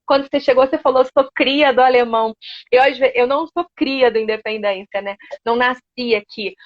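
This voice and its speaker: A woman's voice: high voice, brisk. A woman interviewing, speaking reflectively and hesitantly with many fillers. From Brazil. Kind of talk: conversational interview. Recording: dull lo-fi sound.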